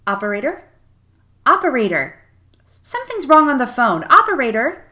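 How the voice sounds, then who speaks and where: A woman as an excitable, swooping suburban housewife. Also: high-pitched, normal speed, exaggerated voice. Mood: frantic. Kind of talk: radio play acting.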